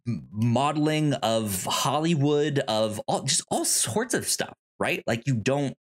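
A podcast co-host casually discussing films and comics in a halting way, often repeating sounds and words. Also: medium pitch, sing-song. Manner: halting, frequent stammered repetition of sounds and words.

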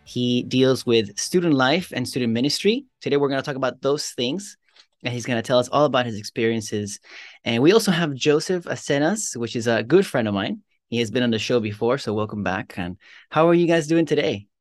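Dry-voiced podcast host, friendly. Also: male voice, medium pitch, animated, fairly quick, no room sound. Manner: friendly, rephrases questions. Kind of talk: casual interview hosting.

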